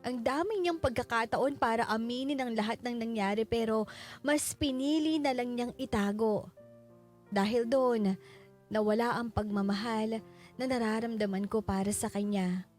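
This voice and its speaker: An actress playing a sorrowful widow, narrating her letter in an earnest, measured way for a radio drama. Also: fairly high pitch, darkish tone, soft background music, close to an everyday voice. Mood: reflective, sorrowful.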